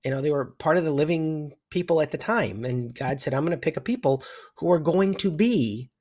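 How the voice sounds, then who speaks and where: A man's voice: a little high, lively intonation, brisk, a little mellow. An American man in his fifties, a guest thinking aloud in a casual religious discussion over a video call. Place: indoor room at home, video call.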